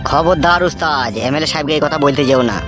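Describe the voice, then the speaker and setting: A man's voice: on the high side, fairly even intonation, fast, lightly acted. A man playing a nosy, cautious henchman in a comic cartoon, deferential yet teasing. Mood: warning. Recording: music under the voice.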